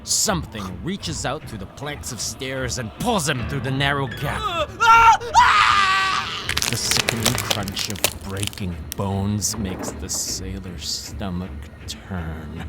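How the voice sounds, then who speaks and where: A man's voice: lowish voice, big pitch swings, slow, shouting. An actor playing a young man telling a scary sea tale in a theatrical, suspenseful manner. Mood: ominous, suspenseful. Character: male student spinning a nautical horror tale. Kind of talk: dramatic scary-story narration. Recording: dramatic music under the narration.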